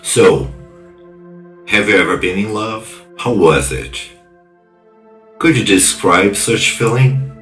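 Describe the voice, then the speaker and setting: A man playing a world-weary, probing ex-police investigator in an English-language cyberpunk audio drama, speaking deliberately in an echoey recording. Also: fairly low pitch, animated, a bit bright. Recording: echoey voice over music.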